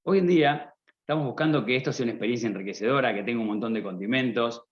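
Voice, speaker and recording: A man's voice: mid-range voice, quick pace. A man from Argentina with an Argentine accent, giving a motivational business talk at a conference, often asking the audience rhetorical questions. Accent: Argentine Spanish. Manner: rhetorical questions, addresses listener directly. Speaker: conference speaker. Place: conference stage. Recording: slightly dull lo-fi sound.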